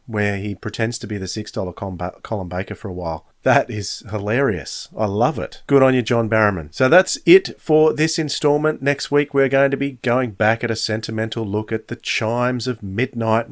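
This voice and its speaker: A man's voice: lowish voice, moderate pace. A man hosting a review podcast alone, talking casually like an enthusiastic fan. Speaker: podcast host.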